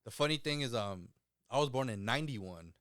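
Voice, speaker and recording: A man in his early thirties talks easily and bluntly on a podcast, dropping "like" constantly and swearing casually. His voice sits at a middle pitch. The recording is close and dry. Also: lively intonation, a little fast, fairly bright tone, smooth, dry recording. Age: 30s. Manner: blunt, laid-back, leans on "like" and cursing. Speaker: podcast co-host. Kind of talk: casual chat.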